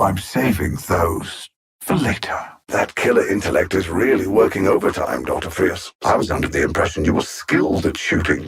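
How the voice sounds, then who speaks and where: An actor playing an arrogant alien supervillain in a video game, coldly menacing and theatrical, with haughty, condescending, precisely worded speech. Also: male voice, mid-range voice, gravelly, a bit bright, husky, full character voice. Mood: mocking. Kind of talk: scripted video game villain lines.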